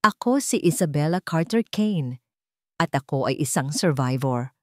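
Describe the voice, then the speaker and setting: A woman voicing a wounded but resolute young heiress in a story, speaking in measured, firm, quietly defiant declarations. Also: low-pitched, expressive, dry recording, clean studio sound. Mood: rehearsed, earnest.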